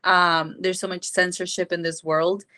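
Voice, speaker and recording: A woman in her twenties, from Mexico, speaks as a podcast guest in a somewhat low voice. She tells her story earnestly, rambling along with plenty of fillers. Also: flat delivery, normal speed, pushed and bright. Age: 20s. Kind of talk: casual personal storytelling.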